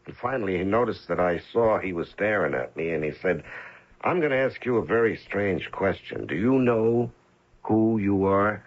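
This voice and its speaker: Radio mystery role: low-voiced American con-man killer, halting yet very animated in pitch, on a dull old recording. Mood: halting, earnest. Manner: hesitant, halting delivery. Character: professional hitman posing as a long-lost son. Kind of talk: 1970s-80s radio mystery drama acting.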